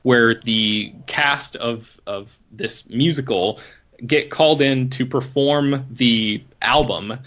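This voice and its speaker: A man's voice: mid-range voice, takes it slowly, fairly bright tone. A man hosting a casual podcast chat, rambling and hedging as he talks. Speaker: podcast host. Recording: slightly dull podcast audio.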